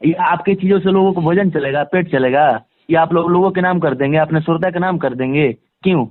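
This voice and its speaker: A man's voice: fairly high pitch, a little fast, no room sound. Emphatic man on a tinny phone line. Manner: emphatic, repeats phrases for stress. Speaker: interview guest, singer. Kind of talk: conversational phone interview. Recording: tinny, band-limited phone call recording.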